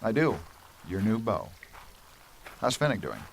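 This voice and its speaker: A man's voice: fairly low pitch, wildly swooping pitch, unhurried, gravelly, full character voice. A man acting in an amateur radio drama as a kindly, enthusiastic weapons inventor. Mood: thoughtful, kindly. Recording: faint background noise.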